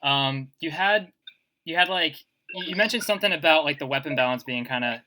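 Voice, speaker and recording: A man's voice: fairly high pitch, brisk, bright, forceful tone. A man hosting a casual podcast, telling stories in a rambling way full of "like" and filler words. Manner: rambling, filler-heavy storytelling with laughs. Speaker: podcast host. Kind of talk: casual group podcast chat.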